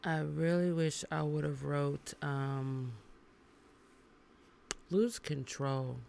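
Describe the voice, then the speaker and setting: A woman singer, a guest on a podcast, talking in a relaxed, chatty way and laughing easily. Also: deep voice, very slow, vocal fry, slight background noise. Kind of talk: casual interview chat.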